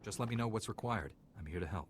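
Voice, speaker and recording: An actor playing an earnest, confident young Jedi apprentice in a video game, dutiful and assured. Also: male voice, fairly low pitch, animated, fast talker, a little hiss or hum, lightly acted. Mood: resolute.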